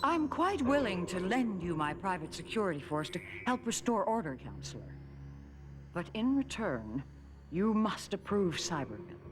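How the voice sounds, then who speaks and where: A woman playing a ruthless, cold corporate villainess in an animated series, with a commanding, haughty delivery. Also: fairly low pitch, normal speed. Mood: smooth menace. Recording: music behind the dialogue.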